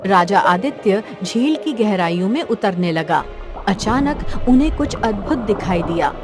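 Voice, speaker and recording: A woman's voice: mid-range voice, expressive, a little slow, over music. A woman narrating a scripted children's cartoon story as the storyteller.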